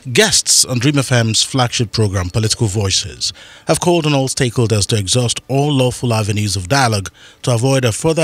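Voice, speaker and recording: A male Nigerian news presenter reads from a script in a mid-pitched voice. The sound is dry, with very little room around it. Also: no echo.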